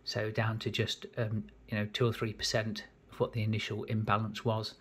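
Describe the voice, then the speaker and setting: Husky-voiced man explaining an engine build. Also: on the low side, completely flat delivery. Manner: methodical, plain explanatory, pauses mid-phrase. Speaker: home mechanic rebuilding an engine. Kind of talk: hands-on workshop walkthrough. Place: cluttered home workshop bench.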